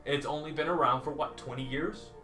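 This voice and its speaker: A man's voice: on the high side, a little fast, bright, forceful tone, soft background music. A man in his thirties arguing his side in a casual debate and laying out figures. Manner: lays out figures, argumentative. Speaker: debater for the Lord of the Rings side. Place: small indoor room full of movie memorabilia. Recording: echoey room.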